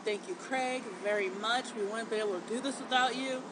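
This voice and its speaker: Stuffy-voiced woman co-host, slightly high. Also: a little fast. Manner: chatty, laughs often. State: congested, stuffy and deep from a cold. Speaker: podcast co-host, groomer. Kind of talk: casual chat. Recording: noisy home recording.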